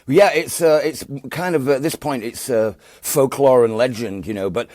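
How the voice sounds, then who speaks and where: A man in his fifties speaks with a broad Yorkshire accent at a mid-range pitch, his voice captured close and completely dry. He rambles easily through the story, circling back on himself with fillers and restarts. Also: moderate pace, a little mellow, dry recording. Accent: Northern English, Yorkshire. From England. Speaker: guest musician. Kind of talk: relaxed storytelling interview.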